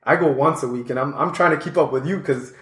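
A man's voice: a little high, fast talker, a bit bright, reverberant. A man hosting a casual podcast interview, chatty and upbeat with slangy asides. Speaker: podcast host.

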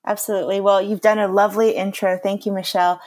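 A woman's voice: medium pitch, flat delivery, fast, darkish tone. A woman in her thirties with a Canadian accent, a chef talking through her career in an upbeat, chatty way with many fillers. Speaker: chef and lecturer, podcast guest. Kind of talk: conversational podcast interview.

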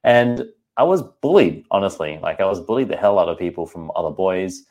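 A man in his thirties with an Australian accent and a low-pitched voice. He hosts a podcast in a casual, candid way and speaks directly to his listeners. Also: animated, a little fast, darkish tone. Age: 30s. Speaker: podcast host and personal trainer.

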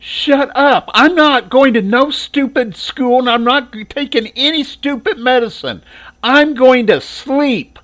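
A man's voice: sits high, very animated, pitched above the performer's own voice, exaggerated voice. Whiny stubborn little girl, as a man puts her on. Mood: sulky, whiny. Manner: whiny, drawn-out. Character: sulky stubborn young girl.

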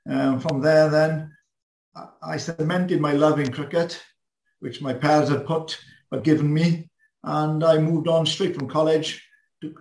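A Welsh man with a slightly high voice, reminiscing in a loose, rambling way. His first language is Welsh. His voice bounces around a roomy, echoey space. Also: unhurried, creaky. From Wales. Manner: relaxed, rambling with many fillers. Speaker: guest, former pupil. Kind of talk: reminiscing in a group video chat.

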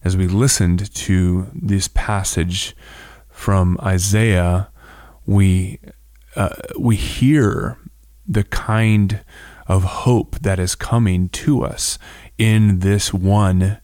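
A man hosting an informal devotional reflection, speaking in a halting, thoughtful way with frequent pauses. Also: low-pitched, steady tone, darkish tone, no echo, studio quality. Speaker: host giving a reflection.

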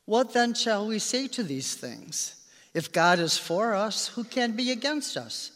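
A man's voice: sits high, very animated, a little slow, a bit bright. A man reading scripture aloud in a formal style at a church service. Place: large reverberant church. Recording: long echoing church reverb.

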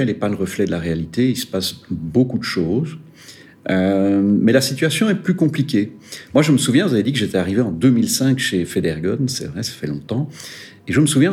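A man, a regional director of an employers' federation, giving measured interview answers with some hesitant fillers. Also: on the low side, a little mellow. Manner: measured, hesitant fillers, stressing key points.

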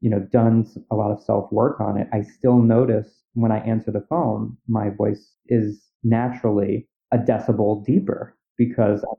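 A man co-hosting a podcast who speaks in a measured, reflective way. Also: on the low side, flat delivery, vocal fry. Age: adult. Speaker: podcast co-host, therapist. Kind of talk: casual conversation. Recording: tinny phone-like audio.

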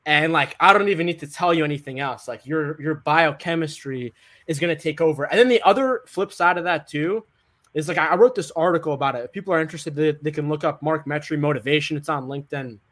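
Upbeat young man, talks fast with "like". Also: a little high, a bit bright. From United States. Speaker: guest author. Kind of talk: casual interview talk.

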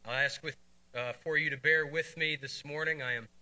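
A man preaching a sermon and reading scripture, hoarse from a cold, earnest and measured with frequent fillers. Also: fairly high pitch, fairly even intonation, pushed and bright. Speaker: pastor.

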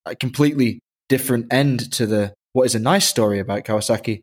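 A man's voice: medium pitch, fast talker, breathy edge. A man in casual conversation as a podcast co-host. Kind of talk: casual chat.

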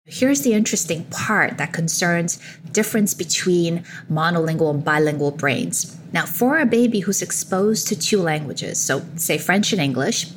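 A woman researcher explains her work in a relaxed, fluent flow, leading into points with "now" and the odd filler. Her voice is slightly low, with faint background hiss. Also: moderate pace. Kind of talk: explanatory interview answers.

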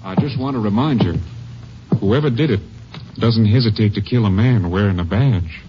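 An actor playing a grave, folksy Texas Rangers captain, emphatic in delivery, in a 1950s radio western. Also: male voice, on the low side, moderate pace, lightly acted. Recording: muffled, faintly noisy old radio broadcast.

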